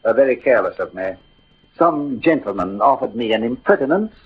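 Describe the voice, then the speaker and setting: A man's voice: medium pitch, lively intonation, brisk, slightly rough. An actor playing a proud, poetic, melancholy swordsman in a declamatory 1940s radio drama style. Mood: mocking. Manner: grandiloquent, declamatory, savouring verse. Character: Cyrano, swaggering poetic swordsman, proud, melancholy about his ugliness. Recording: muffled old radio recording, music behind.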